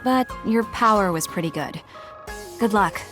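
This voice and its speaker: A woman's voice: mid-range voice, a little slow, a bit bright, faint music behind, natural voice. A woman playing a cool, blunt young female soldier in an anime-style video game, curt in delivery. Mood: cool, brusque. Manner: curt, harsh.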